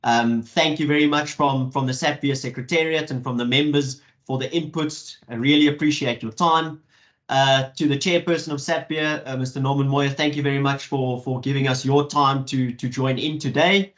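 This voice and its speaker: A man moderating an online webinar, speaking in a halting way with many fillers and restarts over a video call. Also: medium pitch, steady tone, a bit bright. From South Africa.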